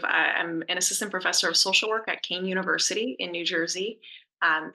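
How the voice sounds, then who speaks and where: A woman's voice: fairly low pitch, vocal fry, bright, forceful tone, rough recording. A woman who is a social work professor and therapist, introducing herself briefly and casually on a video call from a conference. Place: at a conference abroad.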